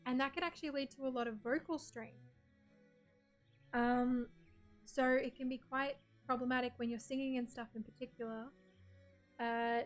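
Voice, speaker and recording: An Australian woman with a soft, breathy voice, chatting casually with her stream viewers and reading their messages aloud. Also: a little high. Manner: chatty, reads chat messages aloud and answers them. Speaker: game streamer.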